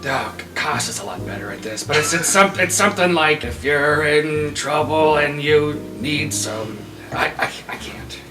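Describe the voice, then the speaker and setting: A man's voice: fairly high pitch, normal speed, few pauses, reverberant, recorded from a distance, music in the background, voice pushed up, natural voice. A man playing an awkward, self-deprecating young nobleman musician who stammers sheepishly in a fantasy tabletop game.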